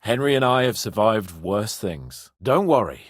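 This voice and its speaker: A man's voice: fairly low pitch, very animated, a little slow, husky, dry recording, clean studio sound, full character voice. A man playing a haughty, hot-tempered young medieval nobleman in a video game, with a pompous, emphatic delivery. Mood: smug, lordly. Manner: pompous, lordly, emphatic, swearing.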